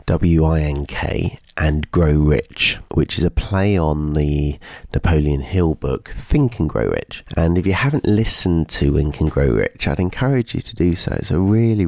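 Man, very low voice, fry-heavy, nasal from a cold, steady explaining manner. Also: no room sound, studio quality. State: congested with a cold. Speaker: podcast host. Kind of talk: solo podcast talk.

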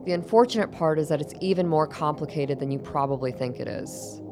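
An actress playing a composed, secretive middle-aged doctor in a radio drama, speaking in a measured, formal and persuasive way. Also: female voice, low voice, music in the background. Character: composed, secretive older woman doctor defying her former master.

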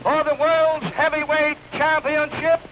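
Male 1930s ring announcer, very high monotone declaiming, drawn-out phrases on noisy old recording. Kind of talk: formal 1930s fight announcement. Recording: muffled, noisy 1930s arena broadcast.

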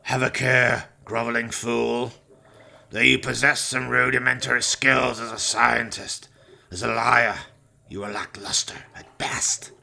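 Man acting a gravelly, imperious dark overlord. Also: mid-range voice, level tone, full character voice. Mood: contemptuous. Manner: slow, haughty, pompous threats. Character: dark, menacing evil master, imperious and contemptuous. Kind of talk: comic horror radio play villain.

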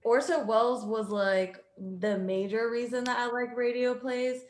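A woman chatting casually in a group, retelling stories in an animated, sweary way. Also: a little high, a little slow, echoey room.

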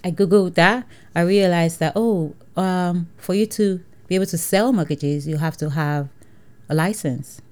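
A woman's voice: fairly low pitch, measured pace, a little mellow. A woman with a Nigerian accent, an entrepreneur telling her story in a casual interview, acting out dialogue and laughing often. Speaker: entrepreneur guest.

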